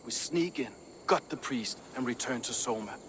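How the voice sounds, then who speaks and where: A man's voice: medium pitch, moderate pace, a bit bright, a little hiss or hum, natural voice. A man as a proud, earnest Viking shipbuilder. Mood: frank, proud. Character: proud Viking shipbuilder, ambitious but loyal warrior. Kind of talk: dramatic video game cutscene dialogue.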